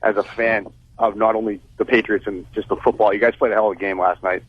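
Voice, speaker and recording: Male phone-in caller from Boston, lowish husky voice, casual, lo-fi line. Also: flat delivery, quick pace. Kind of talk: casual chat.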